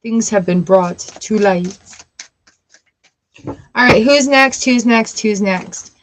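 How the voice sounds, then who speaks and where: A woman with a voice of ordinary pitch talks through a tarot reading in a loose, casual way. She reads the card text aloud and then drifts into chatty, playful asides. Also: slow, slight background noise, rough recording. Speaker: tarot reader.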